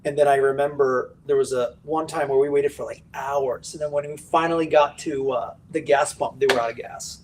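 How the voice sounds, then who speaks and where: A man's voice: medium pitch, fairly even intonation, brisk, few pauses, darkish tone, far from the mic, a little hiss or hum. A man who grew up in Bulgaria, co-hosting a casual trading show and telling a personal story in an earnest way. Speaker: co-host. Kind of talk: casual chat.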